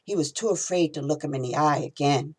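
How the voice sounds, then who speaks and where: A woman reading her own novel aloud in expressive storytelling narration. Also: low-pitched, fairly quick, breathy edge.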